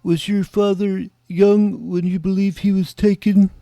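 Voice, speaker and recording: A man's voice: sits high, little pitch movement, measured pace, vocal fry, warm tone, no echo. A man playing a big middle-aged cryptozoologist in an audio drama, prickly, wary and pedantically literal. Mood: pedantic, wistful. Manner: stiff, pedantic, hesitant.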